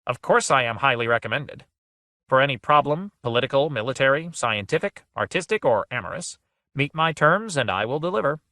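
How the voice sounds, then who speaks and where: A man's voice: mid-range voice, lively intonation, brisk, pushed and bright, no echo, studio quality, pitched above the performer's own voice. A man voicing a smug, boastful male rabbit avatar with a glib, showy manner.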